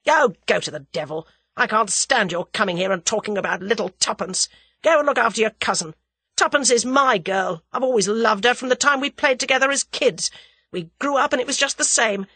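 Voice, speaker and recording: A woman's voice: fairly low pitch, a bit bright, husky, no room sound. A woman voicing a heartbroken, hot-tempered young man who lashes out in angry outbursts.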